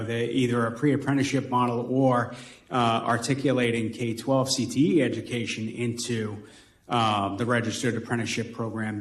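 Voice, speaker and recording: A man with a Boston accent answering as a panelist in a roundtable discussion, talking things through with frequent "right?" tags. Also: medium pitch. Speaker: panelist on workforce. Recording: roomy echo.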